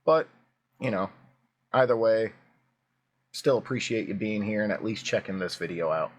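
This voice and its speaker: Hoarse man chatting on a reaction channel. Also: lowish voice, moderate pace. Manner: chatty, rambling with fillers. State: hoarse, voice cracking. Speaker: YouTube reaction host. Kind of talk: casual reaction video chat.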